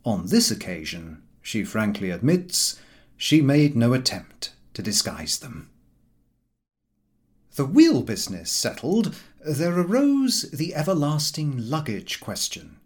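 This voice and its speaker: Very animated male audiobook narrator. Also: medium pitch, takes it slowly. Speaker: audiobook reader. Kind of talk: scripted narration of a comic novel.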